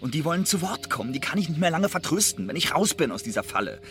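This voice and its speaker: An actor in a radio play playing a restless, hunted spy and would-be playwright, agitated and pleading in a theatrical way. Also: male voice, a little high, big pitch swings, fast, a bit bright, a little hiss or hum. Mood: yearning, fervent.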